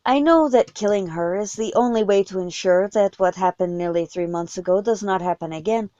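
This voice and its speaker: A woman's voice: mid-range voice, a little fast, warm tone, no room sound, highs cut off, lightly acted. Woman reading as formal, commanding male vampire leader. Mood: grave, reluctant.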